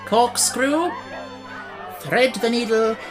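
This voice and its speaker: A woman's voice: fairly high pitch, drawn-out words, music in the background. A woman in a radio play playing a jolly, hearty caller of dances at a party. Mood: merry, calling out.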